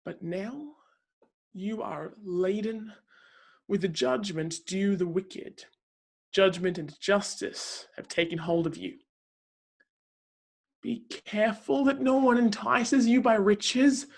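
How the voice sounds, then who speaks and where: A woman playing a zealous counselor who proclaims God's might in a declamatory, dramatic scripture reading with deliberate pauses. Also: mid-range voice, creaky. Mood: grave, solemn.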